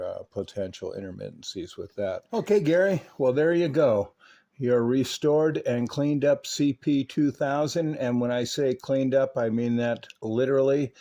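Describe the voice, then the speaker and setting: Mellow American man, hands-on and unhurried. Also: fairly low pitch. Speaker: radio repair technician and presenter. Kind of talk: casual hands-on repair narration and CB radio chat.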